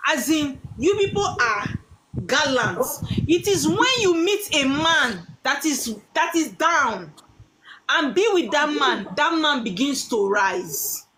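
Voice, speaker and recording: A woman taking callers as a spiritual consultant, talking in an animated way and calling people darling. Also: sits high, slow, a crowd in the background. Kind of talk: live call-in chat. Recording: noisy line with clicks.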